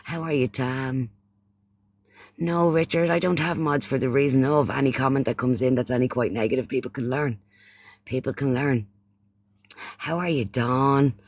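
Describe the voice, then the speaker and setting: A man's voice: medium pitch, fairly even intonation, normal speed, pauses often, no room sound. A man from Ireland with an Irish accent hosting a casual livestream chat, often repeating phrases and using pet names for those he addresses. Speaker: livestream host.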